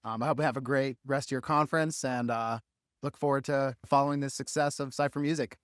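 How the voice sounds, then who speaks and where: Host closing a chat, smooth voice, dry sound, friendly. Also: male voice, mid-range voice, steady tone, a little fast, dry recording. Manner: friendly, polite thanks with fillers. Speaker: interviewer, host. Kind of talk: interview wrap-up.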